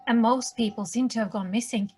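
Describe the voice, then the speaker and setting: A woman playing a calm, matter-of-fact survivor in a scripted table read. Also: a little high, fairly quick, slightly rough.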